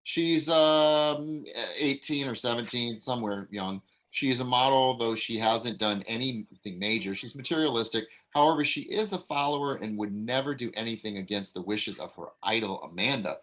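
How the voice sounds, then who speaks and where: A man's voice: mid-range voice, normal speed, few pauses. A man reading the stage directions and giving notes to the actors at a casual table read. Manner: relaxed, casual, with fillers and self-corrections.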